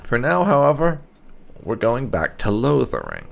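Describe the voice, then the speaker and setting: A man's voice: medium pitch, wildly swooping pitch, a little slow, creaky. A man hosting a gaming channel, giving casual let's play commentary.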